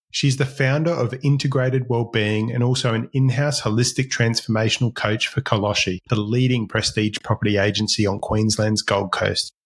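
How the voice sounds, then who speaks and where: A man hosting a podcast, introducing his guest with enthusiasm. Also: medium pitch. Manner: upbeat, enthusiastic presenter. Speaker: podcast host. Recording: faint music under voice.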